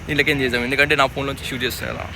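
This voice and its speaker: A man's voice: medium pitch, level tone, racing, gravelly. A young man with a Telangana accent chatting casually in an informal, slangy way, repeating himself. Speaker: vlogger. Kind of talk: casual chat to camera and on a phone call. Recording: faint outdoor traffic noise.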